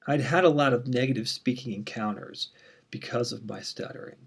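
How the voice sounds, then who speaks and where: Man with a mild stutter, casual and relaxed. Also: mid-range voice, a little fast, vocal fry, slightly rough. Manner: relaxed, frequent "um" fillers, candid. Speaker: university instructor. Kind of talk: casual course introduction.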